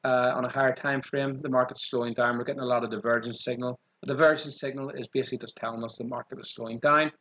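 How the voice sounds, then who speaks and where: A man with a strong Irish accent walking through trading charts quickly, often saying "okay" as he goes. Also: mid-range voice, little pitch movement, a bit bright, highs cut off. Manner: quick, repeats 'okay' as a filler. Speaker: trading channel host. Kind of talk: screen-share chart walkthrough.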